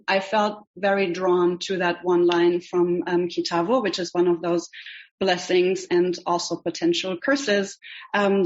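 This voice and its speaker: A woman from Germany with a German accent, a rabbinical student teaching an online class, hesitant and full of ums. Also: lowish voice. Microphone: video call. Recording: dull lo-fi video call audio.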